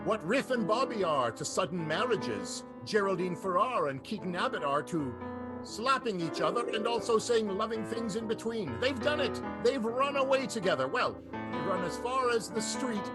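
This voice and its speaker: Male narrator, high animated voice, theatrical, wry melodrama, plucked guitar music behind. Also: moderate pace. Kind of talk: theatrical soap-opera narration for a radio play.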